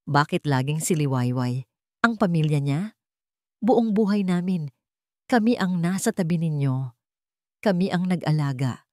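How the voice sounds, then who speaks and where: A woman's voice: low voice, expressive, unhurried, pauses often, darkish tone, no echo, clean studio sound. A woman voicing a desperate, resentful young man caught scheming in an audio drama story. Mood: bitter, resentful. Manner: protesting, resentful.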